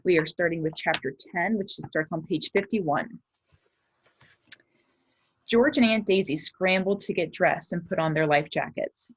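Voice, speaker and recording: A woman with a low-pitched voice speaks as an elementary teacher, explaining and reading a children's story. She addresses pupils in simple, clear-cut terms. The recording is dry, with little room sound. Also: no echo, low-quality recording.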